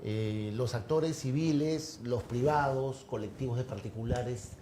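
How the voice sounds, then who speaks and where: A man's voice: mid-range voice, unhurried, dark tone. A man interviewing a writer, asking long, rambling questions full of tag questions. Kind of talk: conversational interview. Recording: roomy, with clear background noise.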